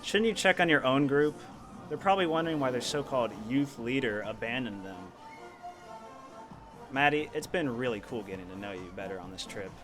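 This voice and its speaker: A man playing a smooth-talking, subtly pushy young youth leader in naturalistic audio drama dialogue. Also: mid-range voice, lively intonation, brisk, over music, natural voice. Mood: needling, ingratiating.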